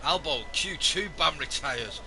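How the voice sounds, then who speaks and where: A man's voice: on the high side, animated, a little slow. Guy commentating a racing stream, voice shot, sounds totally done in, noisy background. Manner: weary, self-mocking banter with the chat. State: hoarse, losing his voice. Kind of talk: live esports stream commentary.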